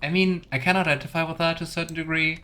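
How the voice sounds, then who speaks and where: A man's voice: a little high, fairly even intonation, quick pace, bright, forceful tone. A man giving casual let's play commentary and reading game text aloud, musing and wryly sarcastic. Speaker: game streamer.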